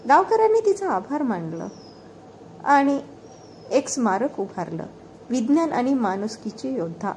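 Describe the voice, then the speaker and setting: A woman storyteller reads a scripted tale. Her voice sits fairly high and swings widely in pitch, with a soft, mellow tone. The delivery is dramatic and expressive, with faint music underneath. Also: unhurried, long pauses, slight background noise. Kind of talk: scripted narration of a moral story.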